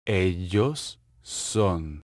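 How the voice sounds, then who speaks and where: A man reading short phrases for a language lesson, saying each one slowly and clearly and then repeating it. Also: sits low, expressive, darkish tone, no echo. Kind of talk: slow, carefully enunciated drill reading. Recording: dry, close studio voice-over.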